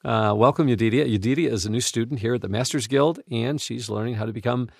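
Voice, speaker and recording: A man's voice: mid-range voice, fast talker, vocal fry, no echo. Man hosting a casual studio podcast, dry sound. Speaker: podcast host. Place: recording studio.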